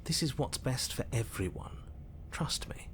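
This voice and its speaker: Male actor as controlling horror game narrator, medium pitch, formal diction, theatrical, smug, measured. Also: expressive, noisy background, natural voice. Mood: smug, insistent.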